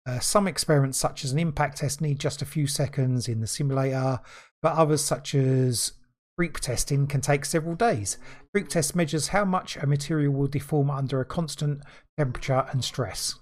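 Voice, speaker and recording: A man's voice: on the high side, moderate pace. A man co-hosting a podcast, reading a news story aloud and stumbling over words, then chatting casually. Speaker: podcast co-host.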